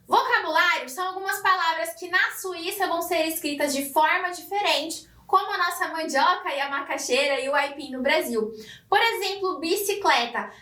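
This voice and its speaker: A woman from São Paulo with a Paulista accent, teaching a language lesson clearly and directly to the viewer. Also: very high voice, bright, forceful tone, reverberant, far from the mic. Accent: Paulista Brazilian Portuguese. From Brazil (São Paulo). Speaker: German teacher. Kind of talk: explanatory online lesson.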